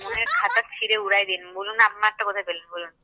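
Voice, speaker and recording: A woman's voice: medium pitch, wildly swooping pitch, rapid-fire, a crowd in the background. A woman arguing on a telephone call, curt, indignant and challenging. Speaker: prank call recipient. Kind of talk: phone argument. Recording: telephone call with faint noise.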